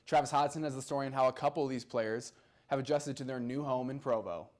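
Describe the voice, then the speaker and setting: A man anchoring a student news show with a brisk, even read. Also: medium pitch, a little hiss or hum.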